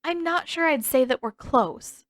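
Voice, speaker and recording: A stubborn teenage heroine of a fantasy story, played by a woman narrator, pitched fairly high. She is spirited and quick to get defensive, with an emphatic, exasperated and wry edge. It is recorded very dry. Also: no echo. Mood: embarrassed denial.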